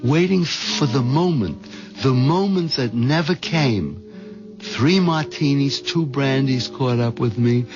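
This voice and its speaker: An actor playing a timid, hapless henpecked husband with a nervous, hesitant way of talking, in a 1970s radio drama. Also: male voice, medium pitch, slow, music in the background. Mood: rueful narrating. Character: timid, squeamish henpecked husband plotting murder, narrating. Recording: noisy, dull old radio broadcast.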